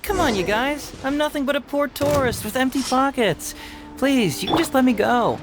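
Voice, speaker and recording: An actor voicing a playful, self-assured young detective in a video game, chatty and theatrically flattering. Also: male voice, extremely high pitch, moderate pace. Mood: mock pleading. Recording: faint music behind the voice.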